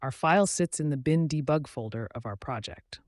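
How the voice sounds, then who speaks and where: A man narrating a scripted tutorial as its host, in a measured, instructional way. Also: a little high, lively intonation, dry recording, clean studio sound.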